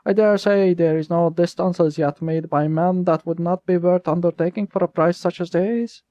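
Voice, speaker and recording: A man's voice: high voice, unhurried, dark tone, no echo, voice pushed up. A man voicing a cunning young male thief who speaks with overly formal politeness that turns menacing. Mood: flattering. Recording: dull lo-fi recording.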